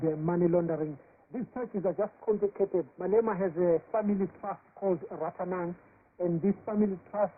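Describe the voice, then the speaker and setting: High-voiced correspondent over crackly shortwave. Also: male voice. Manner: halting, many fillers and restarts. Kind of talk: live phone interview report. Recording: tinny shortwave radio with hiss.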